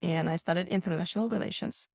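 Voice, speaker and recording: A woman's voice: lowish voice, fast talker, creaky, a little mellow, dry recording, studio quality. A woman from Kazakhstan, a guest entrepreneur chatting loosely in an interview, full of "like" fillers. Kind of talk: casual interview chat.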